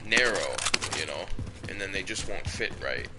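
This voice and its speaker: A man's voice: deep voice, lively intonation, moderate pace, heavy background noise. A man with a Canadian accent chatting casually while gaming, rambling and repeating himself. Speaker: game streamer. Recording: loud game music and gunfire behind. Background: video game music, gunfire, vehicles.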